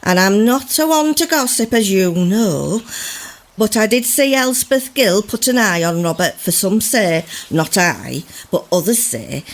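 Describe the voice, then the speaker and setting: A woman playing a sanctimonious village gossip of olden times, confiding and insinuating in an archaic radio drama monologue. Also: on the low side. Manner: confiding, mock-pious, insinuating.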